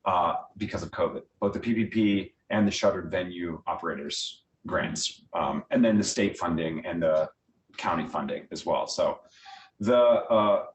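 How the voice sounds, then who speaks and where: An American man giving informal, conversational answers as a guest speaker at a small meeting. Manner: conversational, explanatory, uses everyday analogies. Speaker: guest speaker, theatre director. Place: indoor meeting room. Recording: echoey room, distant mic, faint rumbling noise.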